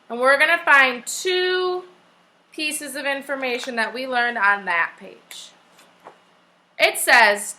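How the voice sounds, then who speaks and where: A woman teaching young children in a classroom, reading aloud and instructing deliberately, with repeated questions and key phrases. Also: sits high, pushed and bright, low-quality recording. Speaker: elementary school teacher. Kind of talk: classroom read-aloud lesson.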